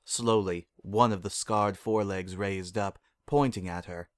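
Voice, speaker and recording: Male narrator for dramatic fiction reading, fairly deep register, dry studio-like sound. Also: steady tone, measured pace, smooth, no echo.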